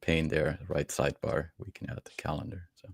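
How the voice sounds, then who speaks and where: Low creaky guy hosting a casual tech chat, super dry sound. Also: level tone, a little fast, no room sound. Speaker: podcast host, tech editor.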